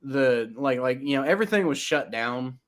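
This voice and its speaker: A man's voice: mid-range voice, animated, normal speed, a bit bright. A man with a slight Kentucky accent co-hosting a casual sports podcast, blunt and opinionated, often stammering over repeated words.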